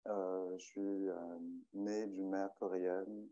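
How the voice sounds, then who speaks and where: A man's voice: sits low, level tone, slow pace, dark tone. A man from Michigan, a native English speaker, speaking French on a panel, hesitant and searching for words. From Michigan, United States. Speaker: panelist, librarian. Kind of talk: panel talk. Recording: dull, lo-fi sound.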